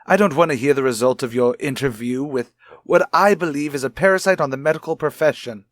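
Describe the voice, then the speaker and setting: A man's voice: mid-range voice, brisk, dry recording, lightly acted. Man playing stuffy domineering husband, formal, condescending, short-tempered, 1950s radio drama, dry close sound. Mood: irritated, dismissive. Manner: formal, condescending, clipped disapproval. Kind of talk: 1950s radio soap acting.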